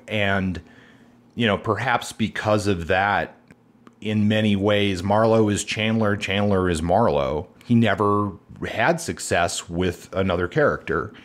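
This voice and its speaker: An American man with a low voice co-hosts a discussion show. His delivery is conversational and thoughtful, with phrasing he works out as he goes. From United States, from Seattle and the Midwest. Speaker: podcast co-host.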